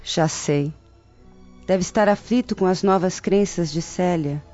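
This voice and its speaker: An actress playing an elder Roman daughter who talks with her father, quick and dismissive, in a radio drama. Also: fairly low pitch, darkish tone, natural voice. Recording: muffled audio with background music.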